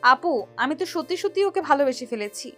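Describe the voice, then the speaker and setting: A woman playing a lovesick, remorseful younger sister in an audio love story, speaking softly and earnestly in a pleading way. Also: high-pitched, animated, brisk, faint music behind. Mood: hurt, earnest.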